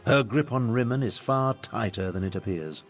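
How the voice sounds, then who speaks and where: A man's voice: mid-range voice, lively intonation, moderate pace, soft background music. An actor playing a solemn, grandiose prophet nobleman in a fantasy game, speaking in a grave, formal, declamatory way. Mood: resolute.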